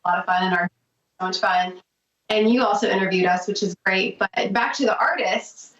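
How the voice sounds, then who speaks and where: A woman's voice: medium pitch, moderate pace, a bit bright. A woman talking as a guest on a podcast, gushing, grateful and talkative. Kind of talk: casual interview chat. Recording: distant, roomy, muffled remote audio.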